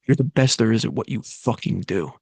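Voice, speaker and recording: A man's voice: mid-range voice, fairly quick, creaky, a little mellow, husky, dry recording, pitched below the performer's own voice. A man reading a bossy protective big brother. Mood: fired up. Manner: bossy, fired-up encouragement. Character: tough protective older brother, young man.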